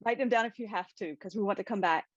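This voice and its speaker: An American woman moderating a book discussion with deliberate, formal phrasing. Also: mid-range voice, no echo, lo-fi sound.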